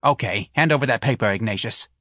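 A man voicing a loud, blunt, working-class middle-aged mother who scolds her grown son in a shrill voice. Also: fast, no room sound, studio quality. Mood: scolding.

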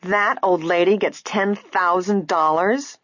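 A woman's voice: low-pitched, big pitch swings, a little fast, breathy edge, no room sound, lo-fi sound, heavily acted. A woman voicing a spoiled, contemptuous young society daughter in a story reading, tart and snide. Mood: tart, scornful.

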